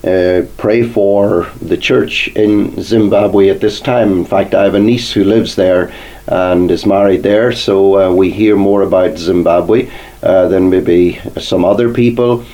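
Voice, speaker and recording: A man hosting a Sunday church program, asking questions slowly and measuredly. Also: low-pitched, warm tone, low-quality recording. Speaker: radio program host.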